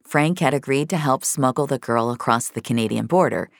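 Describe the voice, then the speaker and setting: A woman reads scripted audio fiction as its narrator. Her voice sits extremely low and has plenty of creak. The sound is dry and close, with no room on it. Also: fairly quick, dry recording.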